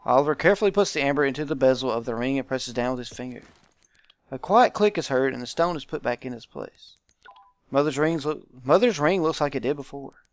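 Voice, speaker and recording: A man's voice: mid-range voice, lively intonation, a little fast, clean tone, no room sound. A man hosting a visual novel let's play, reading game text aloud and adding informal commentary.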